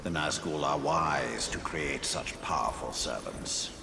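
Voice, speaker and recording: An actor playing a grave, cautious ancient spirit counsellor in a video game, speaking in a measured, solemn way. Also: male voice, very deep voice, vocal fry, background noise, heavily acted. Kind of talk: video game cutscene acting.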